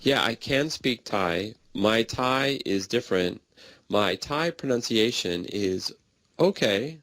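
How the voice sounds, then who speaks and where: A man speaking in plain, simple sentences in a slow conversation practice recording. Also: fairly low pitch, expressive, fairly bright tone, dry recording. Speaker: English learner in a practice dialogue.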